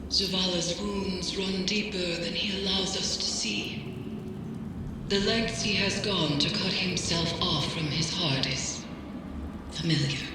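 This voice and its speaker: An actress playing a grave, wise mystic in a game, giving measured, oracular counsel in a cavernous reverberant sound. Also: female voice, lowish voice. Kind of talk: scripted game dialogue. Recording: cavernous long reverb with noisy ambience.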